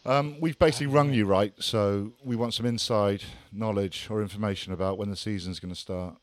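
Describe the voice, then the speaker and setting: A man's voice: fairly low pitch, steady tone, fairly quick, dry recording. A man hosting a casual chat, teasing his guest and laughing often. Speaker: podcast host. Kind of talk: casual banter.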